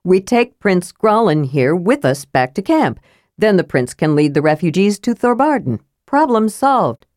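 A woman's voice: lowish voice, very animated, a little slow, a little mellow, no echo, clean studio sound. A woman voicing a good-natured young male warrior who talks in an easygoing, reasonable and slightly weary way. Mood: earnest.

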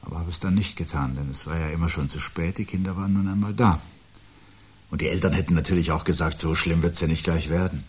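An actor playing a weary, sardonic husband in a 1970s German radio drama, speaking deliberately and rhetorically. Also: male voice, sits low, fairly even intonation, husky. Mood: bitter irony. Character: weary, bitter, sardonic husband awaiting catastrophe.

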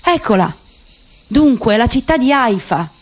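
A woman narrates a literary radio drama in a measured, expressive way. Her voice sits somewhat high. A faint hiss lies behind her.

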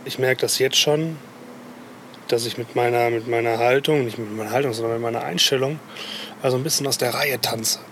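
Guy thinking out loud, keeps fixing his own words, traffic rumbling behind. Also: steady tone, fairly quick. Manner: hesitant, keeps correcting himself. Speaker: participant in a happiness experiment. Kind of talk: reflective personal account.

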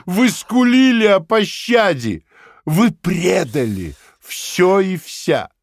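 An actor playing a cold, calmly sadistic interrogator in an audio drama, speaking in a deliberate, patronising and commanding way. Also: male voice, high voice, no room sound, clean studio sound, heavily acted. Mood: contemptuous, forceful.

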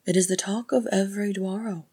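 A woman narrator voicing a gentle, coaxing dwarf woman who soothes her kin in a storybook. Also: medium pitch, steady tone, brisk, breathy edge, pitched above the performer's own voice, natural voice.

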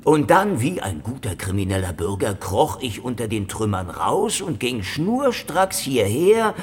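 A man's voice: medium pitch, sing-song, unhurried, darkish tone, slight background noise. An actor playing a hard-boiled, weary Soviet secret policeman in a German radio crime drama, wry, sarcastic and laconic. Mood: defiant, sarcastic. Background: music underneath.

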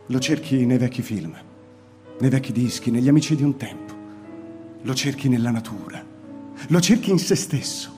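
A weary old scholar, bitter and frightened, speaks in a measured voice, played by a male actor. This is a radio drama with faint music under the dialogue. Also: warm tone, lightly acted. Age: older. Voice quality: measured, turns shrill in alarm.

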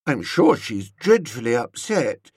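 A man voicing a dreamy, sentimental young woman in an audiobook, with a soft, breathy, sighing delivery. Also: medium pitch, sing-song, a little slow, no room sound, clean studio sound. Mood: puzzled. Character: dreamy, sentimental, wistful young woman, whimsical and slow-witted. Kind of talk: audiobook character voice.

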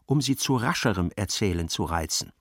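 A man's voice: lowish voice, animated, a little fast, a bit bright, no room sound, clean studio sound. A man narrating a literary radio play in a precise, wry, dramatic storytelling manner.

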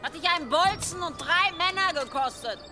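A woman's voice: very high-pitched, animated, moderate pace, music in the background. A woman playing a fearless, scornful medieval warrior widow in a radio drama, resolute and taunting. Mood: defiant. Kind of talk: German radio drama acting.

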